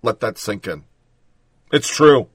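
A man's voice: medium pitch, expressive, slow, slightly rough, no room sound, clean studio sound. Blunt, sarcastic male commentator. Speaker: political commentary host. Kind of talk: reading news then ranting commentary.

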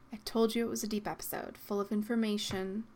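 A young woman hosting her own podcast, talking in a soft, reflective way with frequent ums and likes, her voice slightly worn. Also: mid-range voice. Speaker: podcast host. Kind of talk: solo podcast monologue. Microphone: podcast microphone.

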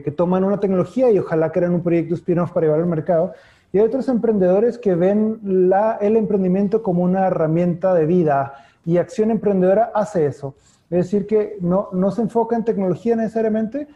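A man's voice: high-pitched, dark tone, lo-fi sound. A man in his thirties with a northern Mexican accent, a guest in an interview, explaining things at length with frequent restarts. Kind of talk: conversational interview.

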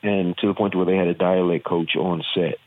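A man's voice: sits low, steady tone, brisk, dry recording. A man from Virginia with a Southern accent, an actor and former football player, giving earnest, reflective interview answers with many fillers. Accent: Southern American. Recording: tinny phone-like line.

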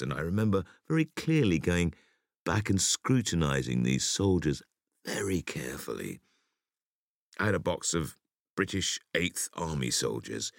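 A man reading a conversational essay for an audiobook in a deliberate, expressive storytelling manner. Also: rumbling low, vocal fry, no room sound, studio quality. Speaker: audiobook reader.

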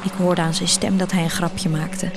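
A woman's voice: fairly low pitch, level tone, moderate pace, background noise. A woman reading a literary first-person story as the narrator of an audio drama. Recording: music behind the voice. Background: music.

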